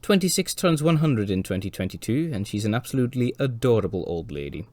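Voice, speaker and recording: Man, very low voice, a bit hoarse, scripted narration, dry wry humour. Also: very animated, quick pace, a little mellow, no echo. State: hoarse from a cold. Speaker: railway video presenter.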